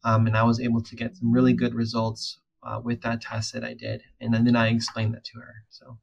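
A man's voice: medium pitch, brisk, creaky, a little hiss or hum, poor audio. A young man whose first language is Spanish, an audiologist talking casually on a video call, with lots of filler words. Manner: relaxed, many fillers and restarts. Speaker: audiologist and PhD student. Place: room at home.

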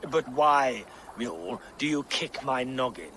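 An actor playing a tipsy, greedy, cowardly medieval storyteller in a video game, with a theatrical, rambling delivery. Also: male voice, medium pitch, animated, unhurried, bright, forceful tone, slight background noise. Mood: sly, groggy.

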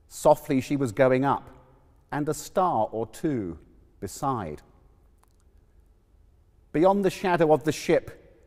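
Slow, echoing man reciting dramatic verse. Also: fairly high pitch, a little mellow. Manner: theatrical, measured, emphatic pauses. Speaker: poetry reciter. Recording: long echoing hall reverb.

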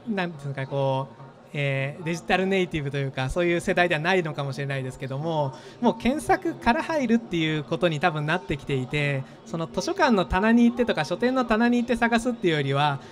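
A man in his thirties, a startup founder being interviewed on an expo stage, talking quickly and thoughtfully with many fillers into a handheld mic. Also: high voice. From Japan, Gifu. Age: 30s.

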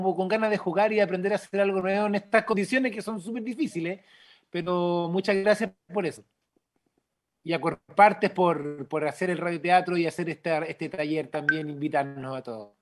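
A man speaks casually over a video call. His delivery is halting, with words often repeated. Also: high voice. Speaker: theatre company director.

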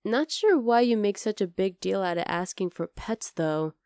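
A woman's voice: mid-range voice, animated, normal speed, a little mellow, clear, resonant tone, no echo, clean studio sound, lightly acted. A woman voicing a teasing, affectionate young wolf-girl girlfriend in an ASMR roleplay, casual and exasperated but tender. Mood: fond, teasing. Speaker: voice actor in audio roleplay. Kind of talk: ASMR roleplay, acted one-sided dialogue.

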